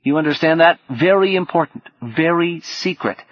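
Man as an earnest, higher-pitched teenage boy. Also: expressive, moderate pace, highs cut off. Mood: insistent.

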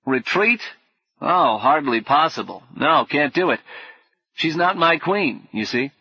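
A man's voice: a little high, sing-song, unhurried, sharp tone, breathy edge, no room sound, muffled recording, voice pushed up, exaggerated voice. A man voicing a vague, befuddled, polite knight in an audiobook, with clipped, dithering, chummy old-fashioned phrasing. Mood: vague, apologetic. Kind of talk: audiobook character acting.

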